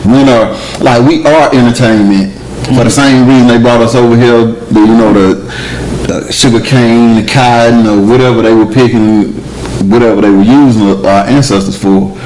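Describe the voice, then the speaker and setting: An animated man, arguing his points in a relaxed group conversation. His voice sits at an ordinary middle pitch and climbs when he presses a point. There is a faint hiss in the background. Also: normal speed, rough recording. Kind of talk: casual discussion.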